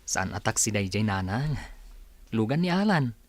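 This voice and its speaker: A man's voice: on the low side, big pitch swings, normal speed. A man with an Ilocano accent acting a humble, grateful, tender young man in an audio drama, polite and earnest. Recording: faint background noise.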